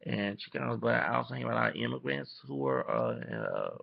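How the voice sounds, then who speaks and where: A man from Texas giving casual, rambling opinion commentary into a webcam, halting and often repeating or correcting himself. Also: rumbling low, wildly swooping pitch, gravelly. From Texas, United States. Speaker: vlogger. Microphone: webcam microphone. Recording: dull lo-fi webcam audio.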